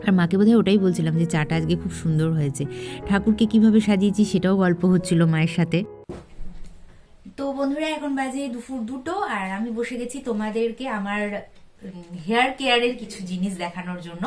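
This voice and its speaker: A woman's voice: mid-range voice, fast talker, darkish tone, soft background music. A young woman with a West Bengali accent narrates a casual daily vlog, talking to viewers in a chatty, familiar way and mixing in English words. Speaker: vlogger.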